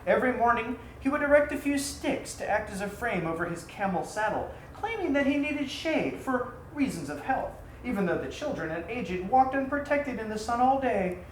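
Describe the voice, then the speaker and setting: A man reading his own story aloud as a theatrical storyteller, with a dramatic, deliberate delivery. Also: extremely high pitch, sing-song. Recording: roomy echo, faint background noise.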